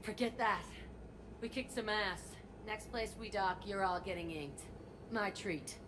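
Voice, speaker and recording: Game role: actress as brash, sarcastic female instructor, foul-mouthed swagger, mid pitch, noisy ambience. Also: unhurried, lightly acted. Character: brash, tough, foul-mouthed young woman biotics instructor. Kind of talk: video game voice acting.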